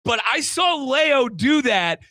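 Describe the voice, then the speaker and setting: A man with an American accent hosts sports talk in a high-pitched voice. The sound is dry and close, and his tone is bright and forceful. He is emphatic and colloquial as he recaps the action. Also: sing-song, slow, dry recording. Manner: emphatic, colloquial, addresses listeners and co-host directly. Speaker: sports show host and radio play-by-play commentator.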